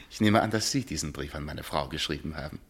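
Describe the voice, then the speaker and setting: An actor playing a haughty, suspicious rich husband in a German radio crime drama, sardonic and condescending, speaking in deliberate phrases. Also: male voice, rumbling low, gravelly, slightly rough, full character voice. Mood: insinuating.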